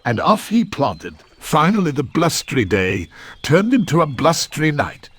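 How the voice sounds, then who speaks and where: A man narrating a children's story from a script in an expressive storytelling manner. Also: a little high, moderate pace, husky.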